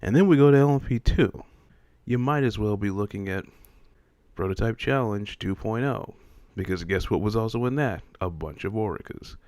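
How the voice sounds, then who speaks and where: A man's voice: fairly low pitch, moderate pace, darkish tone, dry recording. A man hosting an opinion video, talking casually and in an exasperated way, with plenty of rhetorical questions. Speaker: host of a motorsport opinion video.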